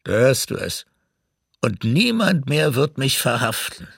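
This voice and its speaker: Gravelly, swooping, embittered old man. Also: mid-range voice, sing-song, a little slow, fairly bright tone, no echo, studio quality, heavily acted. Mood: bitter defiance. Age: older. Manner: emphatic, declamatory. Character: embittered old grandfather, defiant, railing against his oppressors. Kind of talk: documentary radio drama monologue.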